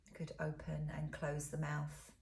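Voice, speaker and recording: A woman leading a guided body scan as a relaxation teacher, speaking in a soothing, unhurried, gentle way, with soft breathy phrase endings. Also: deep voice, completely flat delivery, slight background noise.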